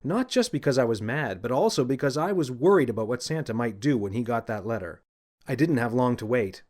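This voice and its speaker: A man narrating a scripted children's story in the first person, with an expressive, confiding storyteller manner. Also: medium pitch, a little fast. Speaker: audiobook narrator and author.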